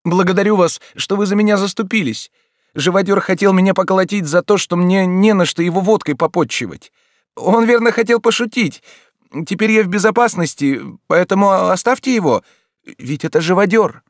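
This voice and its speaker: A man's voice: sits high, fairly quick, dry recording, clean studio sound, pitched above the performer's own voice, natural voice. A man voicing a timid young woman of the streets, pleading in a trembling voice, in an audiobook reading.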